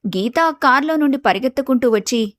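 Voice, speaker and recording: High-pitched woman storyteller. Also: lively intonation, a little fast, no room sound. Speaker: story narrator. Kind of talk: scripted storybook narration.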